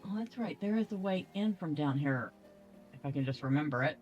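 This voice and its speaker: A woman's voice: sits low, animated, a little fast, darkish tone, dull audio, soft background music. A woman hosting a casual let's play, thinking aloud playfully as she goes. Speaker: gaming video host.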